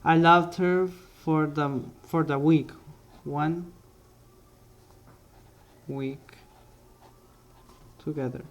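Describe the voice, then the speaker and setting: A young man casually narrating his life story over drawings, halting often and correcting himself as he goes. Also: fairly high pitch, steady tone, highs cut off. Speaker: vlogger.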